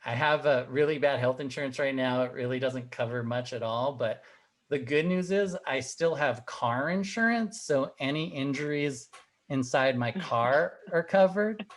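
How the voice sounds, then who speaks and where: A man's voice: medium pitch, normal speed. A young man doing a stand-up set over a video call, firing off punchy, self-deprecating one-liners. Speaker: comedian and host.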